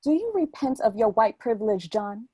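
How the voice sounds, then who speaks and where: A woman's voice: a little high, animated, normal speed, warm tone, slightly rough, no echo. A woman playing a bold, teasing, insistent city woman in her thirties in an audio play. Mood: pointedly challenging.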